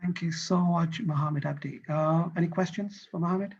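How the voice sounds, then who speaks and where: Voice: medium pitch, normal speed, a little mellow, breathy edge, highs cut off. A session chair moderating an online conference who politely thanks the speakers and briefly introduces the next one.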